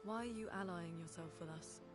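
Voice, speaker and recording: A woman's voice: fairly low pitch, brisk, natural voice. An actress playing a serious, wary woman on an expedition in a video game cutscene, direct and probing. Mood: wary, pointed. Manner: direct, pointed questioning. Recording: loud music behind the voice.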